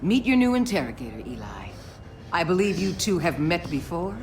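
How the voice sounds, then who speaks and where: A woman's voice: lowish voice, sing-song, moderate pace, noisy background. A woman playing a ruthless government agency chief in a video game, with a hard, blunt, authoritative manner. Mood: cold commanding. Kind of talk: dramatic video game acting.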